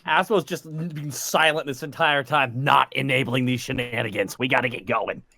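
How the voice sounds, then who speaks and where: A man's voice: mid-range voice, moderate pace, vocal fry, bright, forceful tone. A man bantering casually as a player in a tabletop role-playing game.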